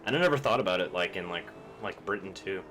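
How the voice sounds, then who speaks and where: A man's voice: deep voice, animated, fast, fairly bright tone, a little hiss or hum. A young man from Kentucky, chatting casually and rambling with plenty of swearing while streaming a game. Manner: rambling, profane, laid-back.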